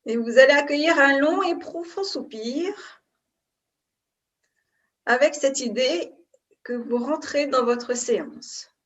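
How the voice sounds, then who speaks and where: A woman yoga nidra instructor giving calm, unhurried guided relaxation in short phrases with pauses between them. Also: high voice. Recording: distant mic, dull muffled sound.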